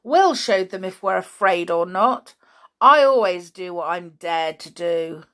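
A woman's voice: on the low side, expressive, measured pace, fairly bright tone. A woman voicing a bold, earnest village boy in a children's story, exclamatory and easily riled. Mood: defiant. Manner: exclamatory, earnest, old-fashioned British children's phrasing.

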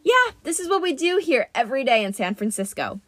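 A wry, protective teenage hero from a fantasy storybook, played by a woman. He talks casually and bursts into exclamations, with a high, animated pitch and a very dry sound. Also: fairly quick, no room sound.

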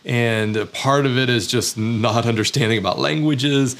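A man's voice: medium pitch, unhurried, fairly bright tone. An American man talking in a candid, self-deprecating way, with many fillers and restarts, about his own experiences as a teacher living abroad. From United States. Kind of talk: reflective personal monologue.

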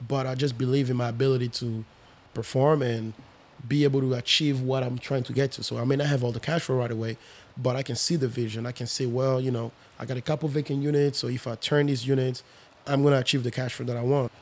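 Man, 30s, from the Congo, raised in France; relaxed, confident podcast guest. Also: mid-range voice, fast talker. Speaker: guest, real estate investor. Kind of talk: casual podcast chat.

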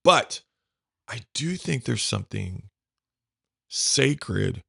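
A man hosting a conversation with a deep bass voice, effusive and emotional as he talks. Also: big pitch swings, extremely slow, vocal fry, bright, forceful tone, slightly rough. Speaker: podcast host. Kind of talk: casual conversation.

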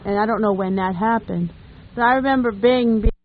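A woman's voice: on the high side, brisk, a little hiss or hum. A woman reminiscing casually in a chatty, homely storytelling way. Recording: tinny narrow phone-like radio line.

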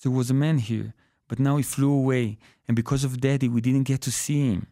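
An excitable young boy from a short story, acted by a man reading aloud. The pitch is lifted well up and the delivery is insistent and plaintive. The recording is very dry. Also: fairly quick, no room sound, close to an everyday voice.

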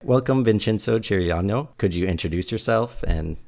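A man hosting an interview podcast, reading a scripted introduction and asking questions in a steady, measured way. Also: on the low side, vocal fry. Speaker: podcast host.

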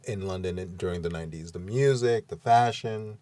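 A host in a casual conversation, adding slow, musing remarks. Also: low-pitched, over a noisy crowd.

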